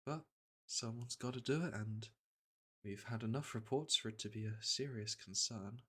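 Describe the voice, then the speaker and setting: A man acts out a seasoned monster hunter in a fantasy audio roleplay. He speaks softly at a medium pitch with a crisp, bright edge, pausing as he thinks and reassuring the listener like a practiced caretaker. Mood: weary, muttering. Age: middle-aged. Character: veteran monster hunter, gruff but caring male patroller. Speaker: roleplay voice actor. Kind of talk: soft-spoken ASMR fantasy roleplay.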